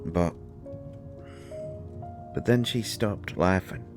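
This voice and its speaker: A grieving fairy husband, acted by a man, speaks in a deep voice at a slow, halting pace. He is gruff and defensive, wounded underneath, in the manner of an intimate audio drama monologue. Soft music plays behind him. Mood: wistful, grieving. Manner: halting, emotional, swinging from snappish outbursts to quiet murmurs.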